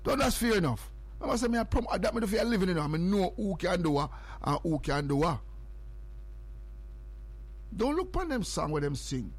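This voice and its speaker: A Jamaican man hosting morning radio, talking in a fairly high voice. He loops the same phrases and reads numbers out again and again, building up his audience. His delivery is pure broadcast patter. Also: sing-song, a little fast, long pauses, breathy edge. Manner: repetitive, hypes and repeats phrases and numbers. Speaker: radio host.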